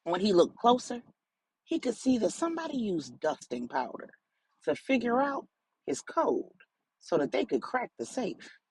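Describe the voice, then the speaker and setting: A woman narrating a story dramatically, in deliberate short phrases with pauses between them. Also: on the high side, sing-song, a little mellow, poor audio. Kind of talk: dramatic bedtime-story narration for grown-ups.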